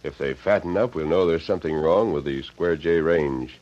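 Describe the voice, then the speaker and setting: Commanding western hero, low earnest American voice, crisp diction, muffled old radio serial. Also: male voice, normal speed, a little mellow. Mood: assured, earnest. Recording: dull lo-fi vintage radio recording, some noise.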